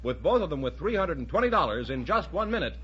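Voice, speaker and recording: Lively high-voiced male announcer, vintage radio. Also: fast, breathy edge. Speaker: show announcer. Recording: dull, faintly noisy old radio recording.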